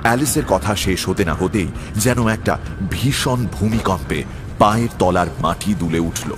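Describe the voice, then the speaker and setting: Guy narrating a dramatic audio story, lowish voice, loud music under him. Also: expressive, unhurried.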